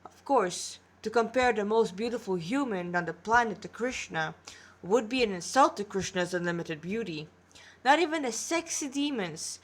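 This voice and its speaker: Tired woman reading a story, higher-pitched. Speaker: amateur storyteller reading aloud. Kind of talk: narrated story reading with character dialogue. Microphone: tablet recording.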